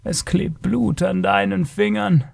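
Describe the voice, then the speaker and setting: A man voicing an ancient, blind, tortured alien creature in a story, gentle and sorrowful, with a halting, lamenting delivery. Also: high voice, fairly even intonation, creaky, voice pushed up, heavily acted. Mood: hesitant, sighing.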